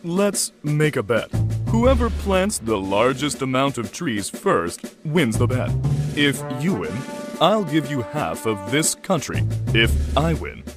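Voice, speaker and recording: Man as jealous storybook king, commanding, deliberate slow delivery, loud music behind. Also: medium pitch, very animated. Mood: scheming, challenging. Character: jealous, scheming king proposing a bet. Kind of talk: storybook cartoon acting.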